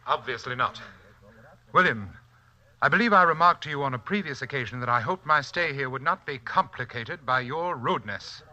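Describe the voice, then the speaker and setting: An actor playing a coldly composed, haughty villain with a velvety, soft-spoken voice and precise diction in a 1940s radio suspense drama. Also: male voice, medium pitch, lively intonation, normal speed. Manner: haughty, polite, menacing, precise formal diction. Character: coldly composed sinister brother-in-law, a self-proclaimed occult hypnotist. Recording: noisy old 1940s radio recording with clicks.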